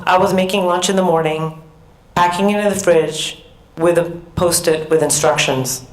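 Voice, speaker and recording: Woman, American accent, middle-aged, earnest measured storytelling, conference talk, echoey hall. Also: on the low side. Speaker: conference speaker. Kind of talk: personal talk at a research summit.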